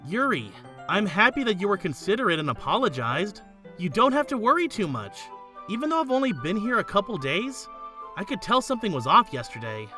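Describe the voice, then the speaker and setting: Woman as bright, animated student narrator. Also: mid-range voice, fairly quick, faint music behind. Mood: earnest, reassuring. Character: earnest, kindly high school student narrator. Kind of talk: first-person scripted narration, fan dub acting.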